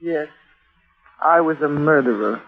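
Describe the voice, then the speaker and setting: A woman's voice: sits low, husky. An actress playing a haunted, nervous young woman with a murderous secret, in melodramatic 1940s radio thriller style. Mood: haunted confession. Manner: melodramatic, halting. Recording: lo-fi old radio broadcast.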